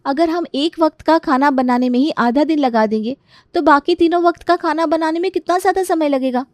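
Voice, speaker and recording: A woman's voice: sits high, brisk, no echo, natural voice. A woman playing a whiny, modern young daughter-in-law who complains dramatically in a Hindi family story. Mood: complaining. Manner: exasperated, dramatic complaining with exclamations.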